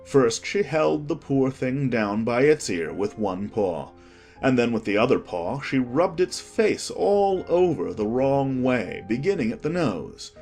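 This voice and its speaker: A man narrating a children's audiobook in an expressive, measured storytelling manner. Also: medium pitch, soft background music.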